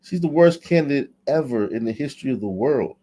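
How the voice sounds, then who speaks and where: Blunt man in a mellow, low voice. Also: lively intonation, fairly quick. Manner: blunt, opinionated, stammers on restarts. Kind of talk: casual commentary chat.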